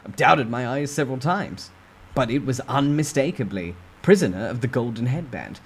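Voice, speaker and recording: A man reading a novel aloud as a storyteller, with expressive, theatrical emphasis in a dramatic first-person narration. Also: mid-range voice, normal speed, husky.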